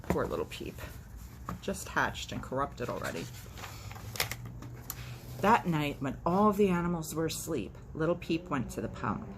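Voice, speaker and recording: A low-voiced woman chats and reads a storybook aloud in a very lively, swooping way. She drops in dry, irreverent asides as she goes. Faint to moderate noise sits behind her. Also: unhurried, pauses often. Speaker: host reading a children's book aloud. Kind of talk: casual vlog chat and animated storybook read-aloud.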